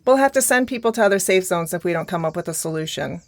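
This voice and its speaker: A woman's voice: fairly low pitch, expressive, fast talker. An actress playing an observant, practical woman who plans for a survivors' safe zone, familiar and teasing, in an audio drama. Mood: friendly, matter-of-fact. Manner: familiar, teasing, matter-of-fact.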